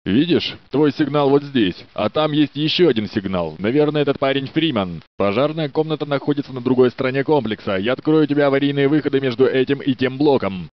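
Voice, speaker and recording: A man's voice: medium pitch, no echo, close to an everyday voice. An actor playing a polite, dutiful male security guard in a game dub, courteous and a little flirtatious. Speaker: security guard in a research facility. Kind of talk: Russian game dub voice lines. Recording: dry, dull studio dub.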